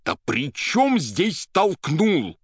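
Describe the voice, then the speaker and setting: A man's voice: on the low side, lively intonation, moderate pace, a bit bright, no room sound, clean studio sound, voice pushed up. A man voicing an agitated, half-crazed young poet in an audiobook, indignant and vehement, with colloquial speech. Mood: angry.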